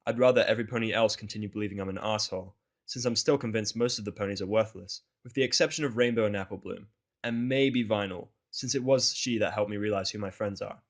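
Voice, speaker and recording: A man's voice: lowish voice, quick pace. A man reading scripted first-person narration for an audio drama.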